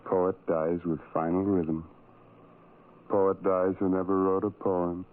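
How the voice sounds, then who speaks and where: A man's voice: sits low, flat delivery, slow pace. Over a tinny, narrow old radio recording comes a tormented, lonely soul. He broods in incantatory, repeated phrases, full of self-loathing, in the manner of a radio horror play. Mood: brooding. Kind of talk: 1970s radio drama monologue.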